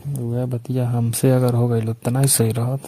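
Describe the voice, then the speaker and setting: A man's voice: medium pitch, flat delivery, measured pace, mellow. A young man with a Bhojpuri accent chatting casually on a phone call in a coaxing, persistent way. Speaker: caller. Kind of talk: casual phone chat with an ex-lover.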